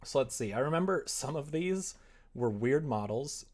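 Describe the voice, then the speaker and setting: A man chatting casually on a livestream as he works, rambling and self-deprecating, often muttering to himself, with a gravelly, creaky voice. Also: mid-range voice, lively intonation, normal speed, darkish tone. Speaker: live streamer, game developer. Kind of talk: casual livestream chat while working on screen.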